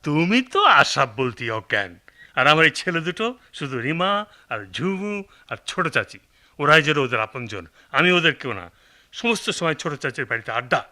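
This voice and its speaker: A man's voice: a little high, expressive, brisk, sharp tone. A man acting a stern, old-fashioned, middle-aged village father in a radio drama, scolding and complaining with a Bangladeshi accent. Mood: resentful.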